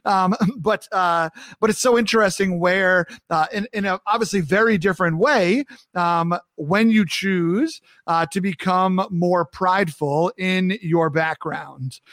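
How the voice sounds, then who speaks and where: A man with a New York accent hosts a relaxed interview and talks in animated, rambling bursts full of restarts. His voice sits high for a man. The sound is close and dry, with no room around it. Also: unhurried, no echo. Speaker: podcast host. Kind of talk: conversational interview.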